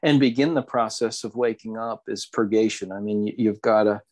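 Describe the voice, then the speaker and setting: A man with a fairly low voice talks in a reflective, conversational way as a podcast co-host. He searches for his phrasing, repeating words as he goes. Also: steady tone, normal speed, darkish tone. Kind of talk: reflective casual discussion of spirituality.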